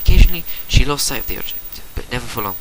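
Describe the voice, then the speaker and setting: A man's voice: medium pitch, animated, racing. A man giving a theatrical dramatic reading of a story, stumbling now and then as an amateur reader. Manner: theatrical narration with stumbles and exasperated asides. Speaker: reader of fan fiction. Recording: noisy amateur recording with clicks.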